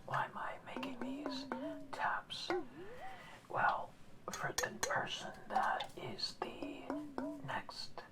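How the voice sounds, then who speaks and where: A woman's voice: high voice, wildly swooping pitch, full character voice. Woman whispering as an old kindly orca. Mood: gentle whisper. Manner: soft whisper, slow and reassuring. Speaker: ASMR roleplayer. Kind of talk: ASMR roleplay.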